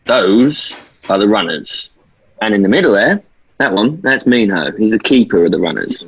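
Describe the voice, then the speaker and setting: A man's voice: lowish voice, big pitch swings, moderate pace, husky, lo-fi sound, exaggerated voice. A man acting in a table read as a seasoned boy leader who shows a newcomer around and explains the dangers. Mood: explanatory.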